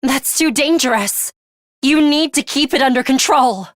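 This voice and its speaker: A woman voicing a cool, guarded young female fighter in a video game, with a measured, composed and imperious way of speaking. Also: sits high, no room sound, clean studio sound. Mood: tense. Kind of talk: English video game voice acting, dramatic anime-style dialogue.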